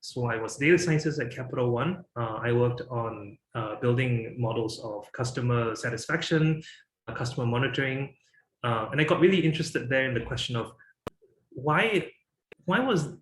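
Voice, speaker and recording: A man's voice: medium pitch, normal speed, roomy sound, a little hiss or hum, low-quality recording. A man from Singapore in his thirties, giving a presentation and talking with many fillers and restarts. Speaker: guest speaker.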